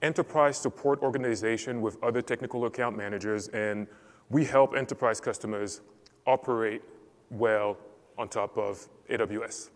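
A man from Cameroon giving a technical conference talk as a support lead, explaining step by step in a measured way. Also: on the low side, steady tone. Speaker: enterprise support lead presenting. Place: large conference hall. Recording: reverberant hall.

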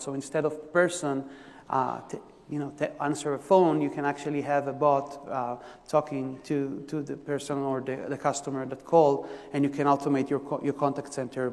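A man from Israel with an Israeli accent, giving a conference tech talk in a halting way, full of fillers and restarts. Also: on the high side. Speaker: technical presenter. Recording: long reverb of a lecture hall.

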